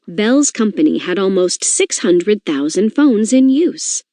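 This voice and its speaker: Mellow, animated woman reading aloud. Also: on the low side, no room sound, clean studio sound. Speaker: reader of a children's nonfiction book. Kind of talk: educational read-aloud narration.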